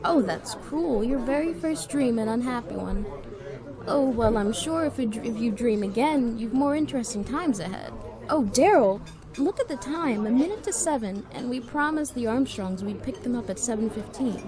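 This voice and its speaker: Woman acting an animated, high-voiced devoted radio sweetheart. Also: normal speed, crowd noise. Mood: playful. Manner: theatrical, coaxing, questioning. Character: devoted, anxious sweetheart Mary, teasing and pleading. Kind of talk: 1930s radio drama dialogue.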